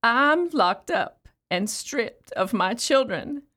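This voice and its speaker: An actress playing a numb, bereaved, incarcerated mother in an audio play monologue, speaking plainly and haltingly. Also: fairly high pitch, expressive, pushed and bright, full character voice. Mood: bitter.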